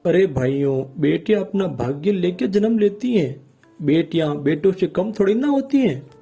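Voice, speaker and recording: A man's voice: high-pitched, lively intonation, normal speed, vocal fry, warm tone, breathy edge, voice pushed up, exaggerated voice. A man voicing a humble, earnest poor village father in a cartoon moral story. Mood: hopeful. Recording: music bed under the voice.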